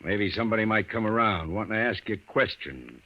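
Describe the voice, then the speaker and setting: A man's voice: on the low side, animated, a little fast. A tough gangland big shot from an old radio crime play. He talks in slangy, domineering bursts and trusts nobody. The recording is dull and aged. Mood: confiding, scheming. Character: tough, suspicious, bullying big-shot male gangster boss. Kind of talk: old-time radio crime drama acting.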